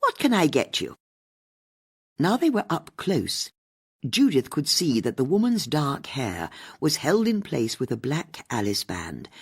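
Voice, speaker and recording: A woman's voice: rumbling low, husky. A woman with an English accent reading scripted fiction as an audiobook narrator.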